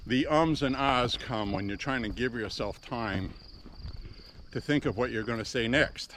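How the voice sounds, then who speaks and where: Slow-spoken man walking a country road. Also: mid-range voice, a bit bright, a little hiss or hum. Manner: slow, deliberate radio-trained delivery. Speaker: walking vlogger, former radio host. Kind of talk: casual walking vlog monologue. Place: outdoors on a quiet country road. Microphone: Bluetooth earpiece. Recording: faint crickets outdoors. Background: crickets and insects.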